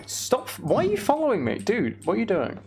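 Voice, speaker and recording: Gravelly man chatting over a game. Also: high voice, wildly swooping pitch, a little fast, a little mellow, a little hiss or hum. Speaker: game streamer hosting a live chat stream. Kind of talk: casual gaming commentary and chat.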